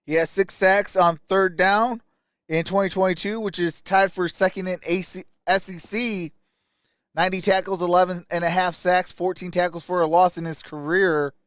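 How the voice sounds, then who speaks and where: A man's voice: high-pitched, fairly even intonation, normal speed, a bit bright, clean tone, no echo, clean studio sound. A man hosting a live stream, giving casual, colloquial sports commentary like a die-hard fan.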